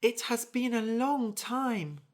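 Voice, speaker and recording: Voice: medium pitch, expressive, slow pace, voice pushed up. A reader voicing an anxious little female mouse in a storybook, fussing and fretting. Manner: fretful, fussy.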